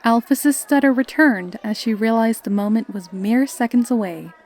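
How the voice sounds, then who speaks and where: A woman's voice: a little high, normal speed, darkish tone, some chatter behind. A woman reading scripted story narration.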